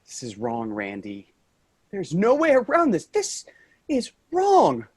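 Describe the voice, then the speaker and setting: A man's voice: high-pitched, wildly swooping pitch, slow, breathy edge, heavily acted. A man in a staged play reading, playing an earnest, appalled office worker who pleads with those around him. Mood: distressed, pleading.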